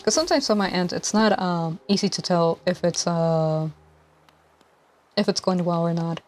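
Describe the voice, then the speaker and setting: A young woman in her twenties, soft-spoken and hesitant, chatting on a live stream while gaming, with a mic clipped to her collar. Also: lowish voice, normal speed. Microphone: lapel mic clipped to collar. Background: faint game music.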